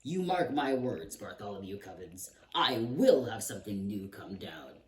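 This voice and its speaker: A grumpy old storybook king who growls and barks orders, as a man reads him aloud. His voice swings widely and booms out in an echoey room. The mic sits some distance away, with faint hiss behind. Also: very animated, unhurried. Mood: furious. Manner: growling, shouting commands. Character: grumpy old male king, bellowing tyrant.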